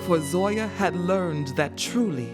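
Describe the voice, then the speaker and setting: A woman narrating a scripted children's storybook in an expressive, measured storytelling manner. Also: on the low side, loud music behind the voice.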